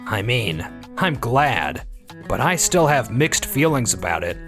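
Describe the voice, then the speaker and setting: Man acting as an animated, self-serving office schemer. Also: mid-range voice, measured pace. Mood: scheming, resentful. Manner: excuse-making, self-serving. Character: scheming, careless male office employee, defensive and ambitious. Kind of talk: anime-style manga dub dialogue and inner monologue. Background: background music.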